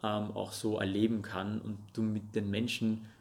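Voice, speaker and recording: A man's voice: fairly low pitch, completely flat delivery, moderate pace, gravelly, darkish tone. A young man from Russia, raised speaking Russian and Armenian, earnestly chatting as a podcast guest with frequent hesitations. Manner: earnest, talks with hesitant ums. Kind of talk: casual interview chat.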